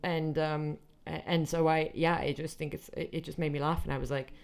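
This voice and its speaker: A laid-back Dutch woman with an American-tinged accent chats easily in a fairly low voice. She rambles through her thoughts and lets her phrases fade into soft murmurs. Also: flat delivery, brisk, vocal fry, smooth. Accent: American-tinged English. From Netherlands. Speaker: guest comedian. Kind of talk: casual podcast chat.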